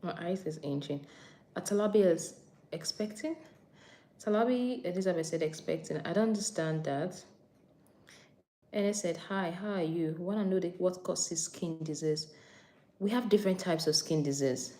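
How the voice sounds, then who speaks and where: Nigerian-born woman practitioner, mellow low voice, casual livestream host reading viewer comments. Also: normal speed. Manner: chatty, repeats herself, reads out comments. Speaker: anesthetics and recovery practitioner. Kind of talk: live stream chat answering viewer questions. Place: on a break during night duty.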